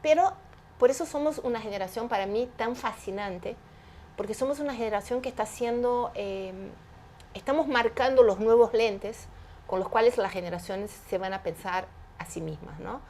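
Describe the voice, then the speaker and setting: A woman's voice: fairly high pitch, moderate pace, darkish tone, a little hiss or hum. Woman, 50s, interview guest; emphatic and explanatory, uses rhetorical questions. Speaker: guest, entrepreneur. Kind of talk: animated interview conversation.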